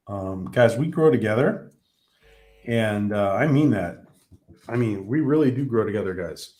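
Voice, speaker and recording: A man hosting a casual livestream who is losing his voice. He talks haltingly, with many ums and restarts. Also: on the low side, darkish tone. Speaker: livestream host.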